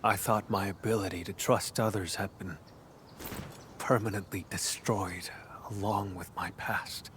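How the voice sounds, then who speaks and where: Over clear nature ambience comes a brooding warrior from a video game, acted by a man. His voice is on the low side and very slow. He speaks formally and intimately, with solemn, earnest devotion. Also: steady tone, background noise, lightly acted. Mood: solemn, devoted. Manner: measured, formal, hushed and intimate. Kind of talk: video game story dialogue acting.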